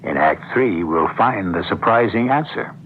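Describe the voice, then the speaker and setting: A man hosting and narrating a radio drama in a measured, theatrical, rhetorical style. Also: fairly low pitch, expressive, a little hiss or hum. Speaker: host and narrator. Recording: tinny old radio broadcast, music behind. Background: clear music.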